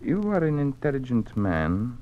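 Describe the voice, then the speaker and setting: A man's voice: medium pitch, sing-song, narrow, tinny audio, natural voice. A man playing an earnest, cultured Russian communist museum official who is secretly troubled, speaking formally in a radio drama. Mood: confiding, grave. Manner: formal, measured, confiding speech. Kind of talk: mid-century radio drama acting. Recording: noisy old radio drama recording.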